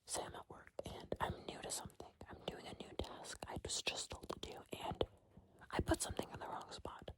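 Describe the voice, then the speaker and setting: A woman speaking in a breathy, unvoiced whisper, rambling informally and haltingly in an ASMR style. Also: rough recording.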